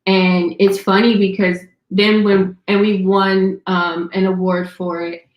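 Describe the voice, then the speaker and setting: A woman speaking as a filmmaker in a conversational panel Q&A, reflective and halting, with a roomy, echoing sound. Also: medium pitch, flat delivery, lo-fi sound. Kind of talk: conversational Q&A answers.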